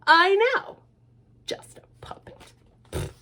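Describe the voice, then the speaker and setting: A woman's voice: slow pace, sharp tone. A woman reading aloud, voicing a breezy, distracted father who brushes off his daughter.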